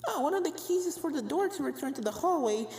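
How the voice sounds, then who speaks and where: A woman's voice: high voice, lively intonation, fast, darkish tone, voice pushed up. A woman voicing a small, helpful female ghost guide with a lilting manner and reverb. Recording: echoing reverb.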